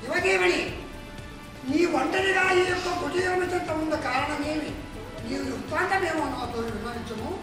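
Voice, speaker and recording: In a very echoey space with music behind, a boastful demon king acted by a man declaims in an extremely high voice. His delivery is imperious, grandiose folk puppet theatre. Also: normal speed, distant mic. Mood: inquiring.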